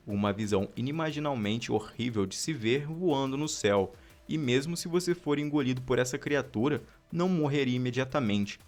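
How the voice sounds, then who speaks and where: A man with a Brazilian accent narrating a scripted horror explainer as a voice-over, with casual asides. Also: medium pitch. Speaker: video narrator.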